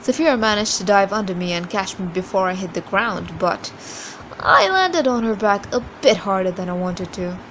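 A cocky young warrior played by a woman shows off with competitive mock pride in a storybook reading. There is clear noise behind the voice. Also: mid-range voice, expressive, normal speed, a bit bright, low-quality recording. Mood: rueful pride. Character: boastful young male warrior comparing battle bruises.

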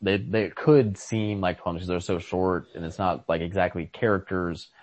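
A man in a casual book discussion, speaking haltingly as he searches for words, on a lo-fi recording. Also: low voice, steady tone, no room sound. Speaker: podcast host. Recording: lo-fi home recording.

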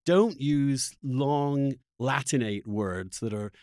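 A man's voice: mid-range voice, slow, a little mellow, dry recording, clean studio sound. A man hosting a podcast, talking in an opinionated, unscripted way, emphatic and often restarting his phrases. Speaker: podcast host.

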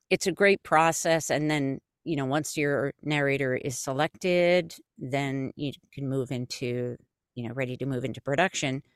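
A woman guest on a podcast explaining how her audiobook company works, steady and explanatory with many fillers. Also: deep voice, smooth, no echo, studio quality. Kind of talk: casual interview chat.

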